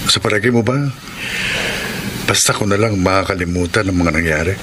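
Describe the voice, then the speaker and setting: Man acting a deep-voiced, wounded husband. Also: brisk, noisy background, lightly acted. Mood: hurt, bitter. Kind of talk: solemn dramatic acting.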